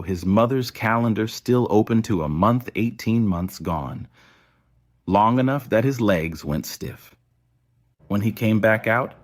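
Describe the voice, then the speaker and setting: Deep-voiced male story narrator. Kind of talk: scripted audio story narration.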